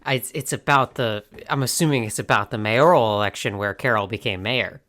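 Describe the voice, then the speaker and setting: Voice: mid-range voice, brisk, a bit bright. A podcast co-host trading jokes in casual fandom chat, with some hesitant trailing fillers.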